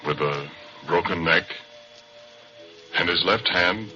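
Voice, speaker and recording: An actor playing a spooked, plain-spoken oil-field worker in a 1940s radio drama, speaking folksy and rough. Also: male voice, deep voice, takes it slowly, pushed and bright, exaggerated voice. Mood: haunted, uneasy. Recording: dull 1940s radio broadcast. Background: music.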